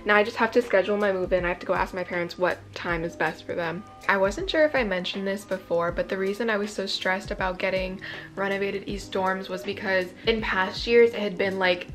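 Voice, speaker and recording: A young woman from California chatting casually in a vlog, excitable and full of "like", her voice a bit raspy. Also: on the low side. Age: young adult. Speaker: incoming college student vlogger.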